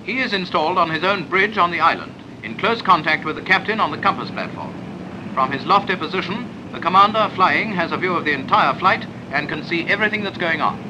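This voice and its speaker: A British man narrates a wartime-era training film in clipped, orderly sentences. His voice sits a little high for a man. The old 1940s soundtrack is clearly noisy. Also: expressive, brisk.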